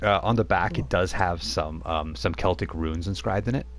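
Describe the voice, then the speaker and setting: A man running a tabletop game as game master, explaining rules and lore in a relaxed, rambling way. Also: low voice, normal speed. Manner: explanatory, with frequent fillers and restarts.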